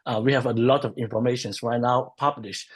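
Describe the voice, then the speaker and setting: A man talks through a webinar as a guest, his voice a touch husky and mid-pitched. He pauses often with ums and restarts his sentences as he works out his points over a video call. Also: steady tone. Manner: hesitant, many ums and restarts. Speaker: policy research guest. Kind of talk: webinar discussion.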